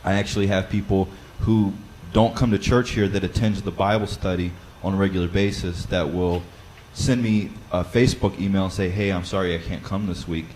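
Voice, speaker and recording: Loud-voiced man giving practical teaching tips. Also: sits low, slight background noise, low-quality recording. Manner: conversational, with frequent fillers and restarts. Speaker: Bible study teacher. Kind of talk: instructional talk.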